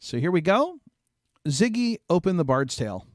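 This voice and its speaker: Casting: male game-stream host, slightly high voice, informal and jokey chatter, close dry microphone. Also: sing-song, moderate pace, dry recording. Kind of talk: casual game stream chat.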